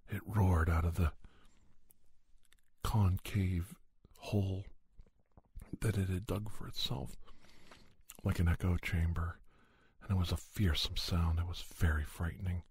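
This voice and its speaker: Male actor as grave, gentle doctor, paranormal investigator narrating a podcast tale. Husky, hushed, very dry close sound. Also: deep voice, dry recording, heavily acted. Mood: grave, suspenseful. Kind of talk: ASMR scripted storytelling.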